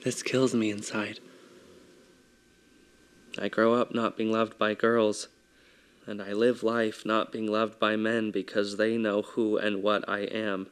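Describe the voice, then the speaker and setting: A man with a soft, feminine-sounding voice performing a theatrical confessional monologue, mostly quiet and measured. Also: on the low side, flat delivery, clean tone.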